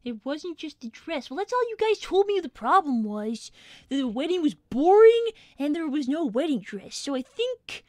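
A woman's voice: high voice, very animated, moderate pace, dark tone, dry recording, clean studio sound. A woman voicing an earnest, chatty young boy cartoon fox who hosts a game livestream in character. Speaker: livestream host playing a game. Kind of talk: in-character casual game commentary.

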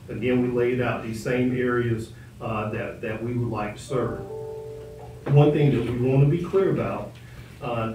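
A man speaking as a city official in a formal public meeting, diplomatic and measured, in a council chamber. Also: mid-range voice, a little mellow. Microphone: distant room microphone. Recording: echoey meeting room, distant mic, faint noise.

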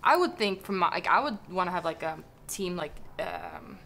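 A young woman with a slightly low voice chats in a group. She sounds agreeable and a little hesitant, and there is faint room noise behind her. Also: wildly swooping pitch, normal speed, creaky, pushed and bright. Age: young adult. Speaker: professional golfer, panel guest. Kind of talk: casual group chat. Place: indoor lounge, seated around a table.

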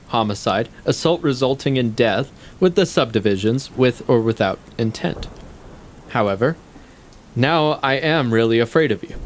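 Give the part of a haughty male scholar, played by a man, in an audio play. His middle-pitched voice is measured and coolly rational, with disdain in the phrasing. A faint noise runs behind the recording. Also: lightly acted. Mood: defiant, scornful. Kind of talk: stage-play dialogue in an audio drama. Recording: steady audible background noise.

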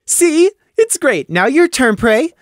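A man doing a giddy, high-pitched pony mayor. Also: sing-song, dry recording, studio quality, exaggerated voice. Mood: bubbly bossy. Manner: bright, bossy, rapid-fire chatter. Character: bubbly, pushy female unicorn mayor.

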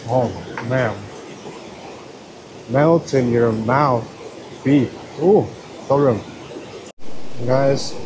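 A man's voice: mid-range voice, background noise. A man in his thirties vlogging restaurant meals at arm's length, upbeat and savoring each bite, calling out to his viewers. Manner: enthusiastic, keeps saying "guys", savoring with hums. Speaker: food vlogger. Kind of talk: casual food vlog narration. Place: restaurants in Kyoto, indoors and riverside outdoors. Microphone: handheld camera at arm's length.

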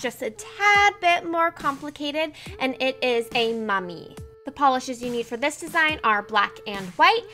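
A woman's voice: high voice, a bit bright. A young woman in her twenties chatting upbeat through a nail art tutorial in her bedroom, with self-mocking asides. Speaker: beauty vlogger. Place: bedroom, indoors.

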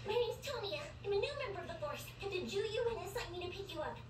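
An actress voicing an eager, easily flustered young policewoman in an animated game trailer. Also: extremely high pitch, fast, distant mic, background noise. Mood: earnest. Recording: echoey TV playback picked up from afar.

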